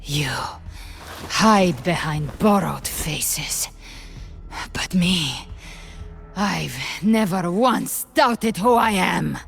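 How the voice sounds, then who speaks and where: A proud, defiant warrior woman from a video game. She speaks slowly in a slightly low register with cool, blunt scorn and hard resolve, music playing underneath. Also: lively intonation, creaky, heavily acted. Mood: fierce resolve. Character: defiant, battle-hardened winged warrior woman, proud loner.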